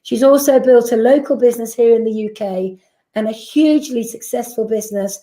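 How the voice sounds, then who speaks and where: Woman pitching in an echoey room. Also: a little fast, dark tone. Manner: persuasive, rhetorical questions. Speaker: business presenter. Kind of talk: sales presentation.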